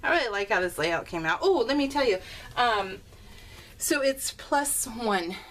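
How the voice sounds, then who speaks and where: A woman's voice: on the high side, lively intonation, unhurried, a bit bright, slight background noise. Chatty middle-aged woman, casual and rambling. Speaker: craft vlogger presenting her scrapbook layouts. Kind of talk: casual, unscripted chat to viewers while showing a scrapbook.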